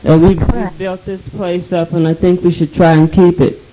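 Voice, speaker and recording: A woman answering hesitantly and slowly at a meeting, with many fillers, on an old archival tape. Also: on the low side, level tone, dark tone, slight background noise, rough recording.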